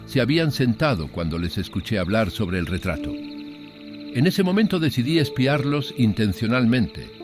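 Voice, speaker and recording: A man narrating a scripted horror story in a measured, literary storytelling manner. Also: medium pitch, big pitch swings. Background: background music.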